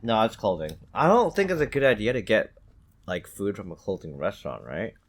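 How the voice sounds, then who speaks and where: A man's voice: fairly low pitch, very animated, a little fast. A man chatting casually as a podcast co-host, informal and given to swearing. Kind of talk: casual chat.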